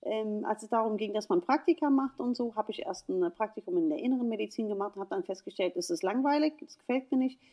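A woman from the USA, a gynecologist speaking as a guest in a casual conversation. She is lively, laughs often and talks with many fillers. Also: mid-range voice, quick pace, few pauses. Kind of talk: casual podcast chat.

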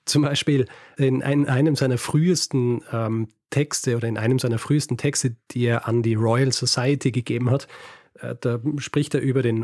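A man's voice: mid-range voice, a little mellow, no room sound, clean studio sound. A man with a Viennese German accent co-hosting a podcast, telling history stories in casual chat, hesitant with many fillers and small laughs. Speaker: podcast co-host.

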